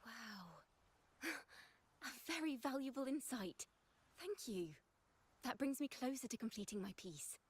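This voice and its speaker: A woman's voice: fairly high pitch, animated, takes it slowly, long pauses, breathy edge, exaggerated voice. An actress playing a graceful, contemplative young female musician in a video game, with a poetic, formal way of speaking. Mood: delighted, grateful. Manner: poetic, formal, gently addressing the listener.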